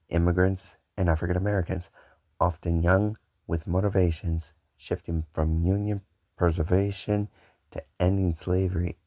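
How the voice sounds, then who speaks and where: A man's voice: sits low, warm tone, dry recording. A man playing an earnest local hero giving stiff, recited answers in a scripted interview.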